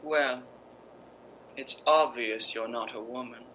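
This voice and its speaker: A woman's voice: very deep voice, takes it slowly, fairly bright tone, a little hiss or hum, full character voice, low-quality recording. A woman playing a proud, volatile stage actress with a biting, sarcastic theatrical delivery in a radio drama. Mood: bitter, wounded. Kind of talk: radio drama acting in 1950s style.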